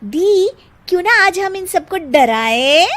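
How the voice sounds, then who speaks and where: A woman's voice: extremely high pitch, lively intonation, takes it slowly, a bit bright, voice pushed up, full character voice. A woman voicing a mischievous teenage girl who loves pranks, talking in a giggly, teasing way for a cartoon story. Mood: playful.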